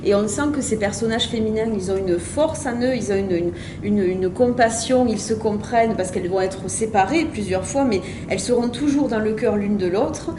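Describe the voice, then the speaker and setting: A woman's voice: medium pitch, normal speed, few pauses, reverberant, background noise. A woman interviewing an author about his novel, agreeing often and summing up the book. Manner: attentive, frequent short agreements.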